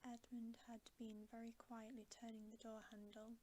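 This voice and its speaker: A woman reading a bedtime story for ASMR in a soft, hushed, unhurried whisper. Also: fairly high pitch, monotone. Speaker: ASMR reader and channel host. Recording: faint background hiss.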